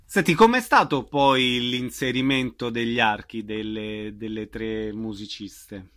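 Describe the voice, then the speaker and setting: A man's voice: medium pitch, unhurried, fairly bright tone. A man working as a radio interviewer, putting questions in a conversational way.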